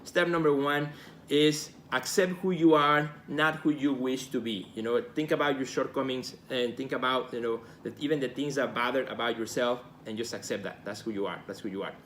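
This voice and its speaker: A man's voice: medium pitch, normal speed, fairly bright tone. A man with a Mexican Spanish accent, Spanish being his first language, talks casually to his viewers as a host and coach. His voice sits in an ordinary middle range, and he is earnest and encouraging, circling back to his key phrases. A faint noise runs under the recording. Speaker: host and art career coach. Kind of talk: casual live video talk to viewers.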